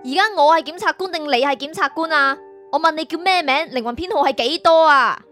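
A woman's voice: high-pitched, fairly quick, a bit bright. A woman with a Hong Kong Cantonese accent acting a stern, impatient spirit prosecutor in a radio drama, curt and authoritative. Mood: impatient, interrogating. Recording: music behind.

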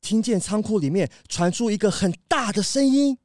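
A man's voice: high-pitched, animated, moderate pace, over music. A man playing a chatty, obliging delivery worker who explains things casually in a children's audio detective drama. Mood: helpful. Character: friendly, chatty male supermarket delivery worker.

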